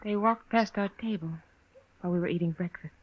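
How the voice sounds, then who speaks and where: A woman acting a devoted frontier wife in a 1950s radio western. She speaks formally and quietly, resigned but resolute. The old recording is muffled, with faint noise. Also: mid-range voice, a little fast, close to an everyday voice. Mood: flat, resolute. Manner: formal, uncontracted, subdued speech.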